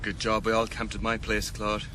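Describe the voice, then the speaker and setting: An actor playing a cocky, matey getaway driver for a gang of robbers in a radio drama. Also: male voice, fairly low pitch, monotone, normal speed, pushed and bright, a little hiss or hum. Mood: cocky, joking.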